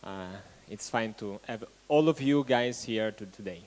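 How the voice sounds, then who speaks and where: A man hosting an event, giving a halting welcome speech to an audience and often restarting his phrases. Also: fairly low pitch, darkish tone. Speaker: event host.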